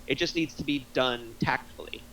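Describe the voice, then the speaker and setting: Man, mid-pitched voice, analytical, halting repetitions, casual group critique, faint room noise. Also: sharp tone, low-quality recording. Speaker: script reading participant giving notes. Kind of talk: casual group feedback discussion.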